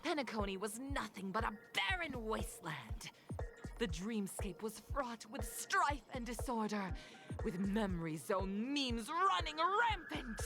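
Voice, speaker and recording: A woman's voice: on the high side, very animated, a little slow. A woman playing a theatrical, grandiose announcer, declaiming scripted video game event narration. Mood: showy, grandiose. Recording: game audio with music behind.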